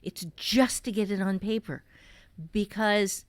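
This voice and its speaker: A woman's voice: mid-range voice, fairly even intonation, a little slow, no echo. A woman novelist chatting casually as she gives blunt, emphatic, sometimes sweary advice on writing fiction. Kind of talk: casual podcast conversation giving writing advice.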